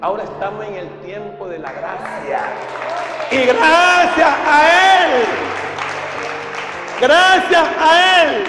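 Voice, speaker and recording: An older man preaching an impassioned sermon as a pastor, emphatic, with rhetorical questions and repeated phrases. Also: very high-pitched. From Ecuador. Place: large church hall, indoors, before a congregation. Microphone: handheld microphone through PA. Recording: echoey church hall, music behind.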